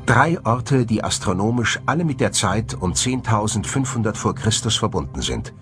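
A man's voice: fairly low pitch, sing-song, moderate pace, over music. A man presenting a documentary in scripted narration.

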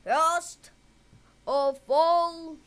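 A woman voicing a persuasive storybook uncle, a male villain, in measured, emphatic phrases. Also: extremely high pitch, voice pushed up, heavily acted. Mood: coaxing. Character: smooth-talking, coaxing adult uncle, male storybook villain.

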